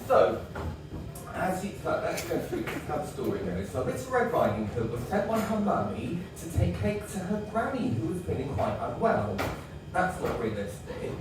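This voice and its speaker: A man doing an oblivious man-child telling a children's story, earnest and rambling with many ums, his voice a touch high and bouncing all over. Recorded from a distance in an echoey live venue with background noise. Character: childlike 26-year-old man-child, mummy's boy, oblivious. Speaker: comedy character hosting kids' story time. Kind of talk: live stand-up character comedy. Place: live comedy stage.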